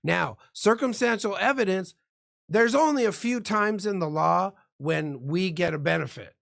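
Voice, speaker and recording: A man's voice: high voice, measured pace, creaky, a bit bright. A man who works as a defense lawyer, explaining the law like a lecturer in profane street slang. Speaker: guest criminal defense lawyer.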